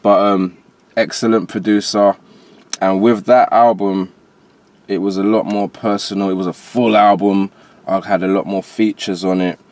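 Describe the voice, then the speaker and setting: A man's voice: on the low side, flat delivery, normal speed, a little mellow. A man from Jamaica with a transatlantic accent, talking casually and in slang as a rapper guest in an interview. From Jamaica, later moved to England. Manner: conversational, slangy.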